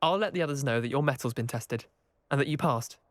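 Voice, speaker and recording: A man's voice: medium pitch, quick pace, dry recording, close to an everyday voice. A man playing a cocky young wizard student. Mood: grudging. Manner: boastful, then grudging. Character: young male student, cocky then gracious loser. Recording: game cutscene with music behind. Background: music.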